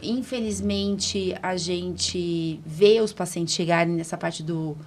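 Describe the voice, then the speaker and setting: A woman's voice: lowish voice, steady tone, slow pace, a little mellow, slight background noise. A Brazilian woman hosting a medical podcast as an allergist, chatting informally and often checking for agreement with tag questions. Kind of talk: casual interview chat.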